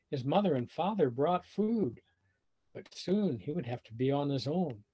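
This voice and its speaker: A man with a husky voice reading a children's storybook aloud expressively and deliberately, pausing to explain things simply to young listeners. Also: a little high, warm tone. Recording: dull lo-fi video call audio.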